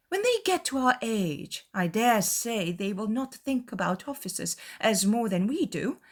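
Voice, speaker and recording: A woman acting out an excitable, fussy middle-aged mother in a dramatized classic novel, eager and insistent. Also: high-pitched, voice pushed up.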